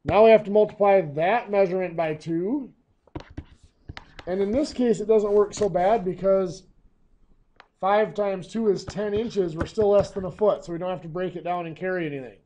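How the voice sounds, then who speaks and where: Hoarse male teacher explaining math. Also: high voice, normal speed, dark tone, a little hiss or hum. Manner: patient step-by-step explaining. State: hoarse, coughing. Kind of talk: screencast math lesson.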